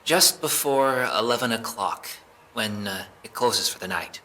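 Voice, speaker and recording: A man playing an evasive, jittery young man being questioned by police, halting and defensive, in a naturalistic audio drama. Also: fairly low pitch, sharp tone. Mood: hesitant.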